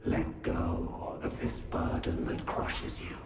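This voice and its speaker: An actor playing a scheming, menacing lord in a video game, taunting and theatrical in delivery. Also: male voice, slow, echoey room, over loud music, natural voice. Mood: coaxing, sinister. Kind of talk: dramatic video game voice acting.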